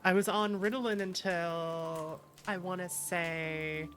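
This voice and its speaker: A streamer chatting casually and candidly while playing a game, in a halting way. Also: medium pitch, fairly even intonation, fairly bright tone. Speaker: streamer, host. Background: music.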